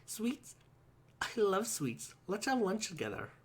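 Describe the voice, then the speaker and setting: A man voicing a bubbly, cheerful schoolgirl who is a magical girl, speaking in a raised, excited, giggly voice. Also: measured pace, husky, heavily acted. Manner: excited, giggly, high-pitched.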